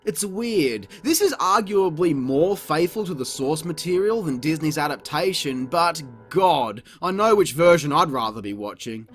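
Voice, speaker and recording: A man's voice: a little high, expressive, normal speed, few pauses, faint music behind. A man narrating a scripted comedic review in a sarcastic, crude, mocking manner. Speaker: video reviewer.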